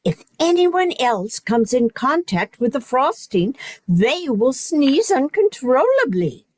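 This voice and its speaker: A woman's voice: high voice, sing-song, takes it slowly, no room sound, full character voice. A woman playing a stern, indignant noble lady and mother in a comic radio play, theatrical and exclamatory. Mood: knowing.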